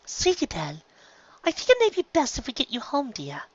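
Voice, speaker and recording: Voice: high voice, wildly swooping pitch, a little fast, slightly rough, no echo, lo-fi sound, pitched above the performer's own voice, full character voice. A narrator voicing a refined young lady unicorn from a storybook, a polite, anxious elder sister who speaks delicately and tactfully. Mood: tentative. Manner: delicate, tactful, careful phrasing.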